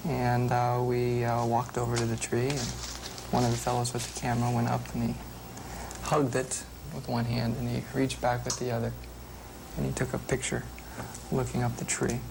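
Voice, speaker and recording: A man with a creaky voice recounting ghost stories as a researcher. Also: medium pitch, completely flat delivery, normal speed, noisy background. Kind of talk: ghost storytelling interview. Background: loud music.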